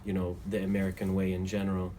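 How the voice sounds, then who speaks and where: Man, 30s, Ohio-raised interviewee: very low, monotone, measured and earnest, noisy recording. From Toledo, Ohio, US. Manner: measured, earnest, some hesitations. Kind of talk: reflective interview answers. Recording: steady background noise.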